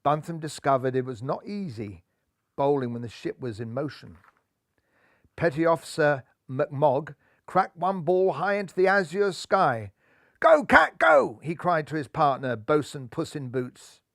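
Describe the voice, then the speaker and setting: An older man reading a children's picture book aloud in a lively way, seated indoors with a clip-on mic. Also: a little high, unhurried, no echo, clean studio sound. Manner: lively, does voices for characters. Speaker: storybook reader. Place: indoor room full of cuddly toys.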